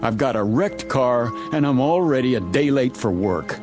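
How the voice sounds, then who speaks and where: A man's voice: mid-range voice, lively intonation, normal speed, over music, lightly acted. A man playing a suspicious, irritable newspaper reporter stranded in a small town, curt and guarded, in an audio drama. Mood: irritated, defiant.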